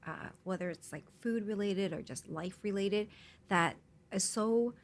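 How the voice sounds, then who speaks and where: A woman's voice: medium pitch, a little slow. A woman born in Hong Kong and raised in Canada, speaking as a guest in an interview. She talks in an easygoing way with frequent fillers. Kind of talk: conversational interview.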